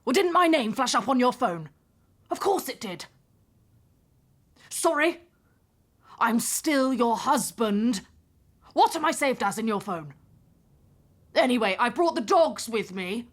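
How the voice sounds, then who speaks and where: An imposing British man in his late forties acted by a woman, pitched rather high. He talks in clipped, staccato bursts, brusque and punchy, in audio drama style. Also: animated, takes it slowly, pauses often. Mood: clipped, defensive. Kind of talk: audio drama phone-call dialogue.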